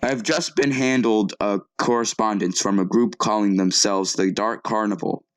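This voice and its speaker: An earnest male news anchor, played by an actor delivers an evening bulletin in a low-pitched voice. He stays composed while sounding somewhat shaken, slipping into conversational, hesitant commentary. The sound is close and dry. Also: fairly even intonation, normal speed, no echo, lightly acted. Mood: serious, puzzled. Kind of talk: acted local TV newscast.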